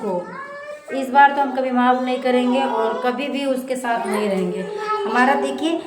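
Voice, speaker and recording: A woman's voice: sits high, moderate pace, music in the background. A young woman with a Bhojpuri accent from eastern Uttar Pradesh, confiding plaintively and casually to her vlog viewers and repeating her phrases. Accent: Bhojpuri-accented Hindi, eastern Uttar Pradesh. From eastern Uttar Pradesh, India. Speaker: family vlogger. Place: indoors in a rented room. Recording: echoey room with clear background noise.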